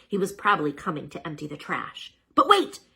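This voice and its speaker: A woman with a fairly low voice reads a children's storybook aloud. Her delivery is highly animated and bright, with a dramatic, expressive manner and chatty asides to her listeners. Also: fairly quick, gravelly, slightly rough.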